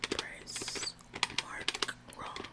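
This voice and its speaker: A woman in a whispered ASMR roleplay, playing a bossy, officious store employee who repeats phrases for emphasis. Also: very slow. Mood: gushing apology. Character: bossy, overzealous female retail store employee, falsely apologetic. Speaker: ASMR performer.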